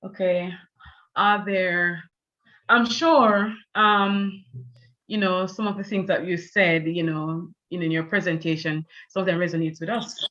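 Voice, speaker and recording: A woman's voice: fairly low pitch, steady tone, unhurried, fairly bright tone, dull audio. A woman with a Jamaican accent hosting an online panel, talking loosely with many "you know" fillers. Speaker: event host.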